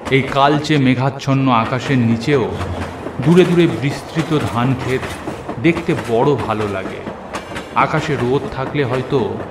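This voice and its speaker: A man with a mid-pitched voice tells a first-person cartoon tale expressively. His narration has a roomy echo and sits over clear train and ambient noise. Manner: expressive storytelling with acted dialogue. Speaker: story narrator. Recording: echoey, with noisy train and storm ambience.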